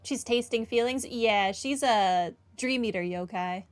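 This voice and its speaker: A woman's voice: a little high, a little slow, clear, resonant tone, soft background music. A woman giving casual playthrough commentary as a game streamer.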